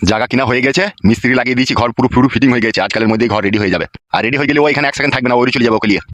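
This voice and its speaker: A man plays an exasperated old cartoon father, head of the household. He grumbles very quickly. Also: medium pitch, fairly bright tone, dry recording, natural voice. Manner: gruffly dismissive, crude, exasperated. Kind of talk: comic Bengali cartoon dialogue.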